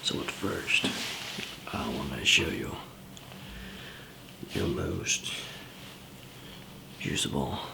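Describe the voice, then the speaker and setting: A man playing a calm, easygoing survival trainer in an ASMR zombie apocalypse roleplay, whispering softly and hesitantly with a gravelly, breathy voice. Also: a bass voice, noisy background, exaggerated voice. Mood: quietly instructive. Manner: hesitant, halting, soft-spoken. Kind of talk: ASMR roleplay, improvised monologue to listener.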